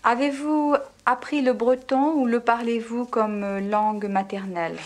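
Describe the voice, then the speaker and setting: A woman's voice: fairly high pitch, fairly even intonation, a little slow, a little mellow. A woman asking polite, formal interview questions.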